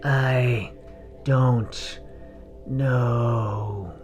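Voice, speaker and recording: Breathy, slow, dying gnoll. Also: male voice, medium pitch, fairly even intonation, a little mellow, muffled recording, faint music behind. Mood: strained, lifeless. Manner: drawn-out, laboured words. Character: dead gnoll forced to speak, drained and reluctant.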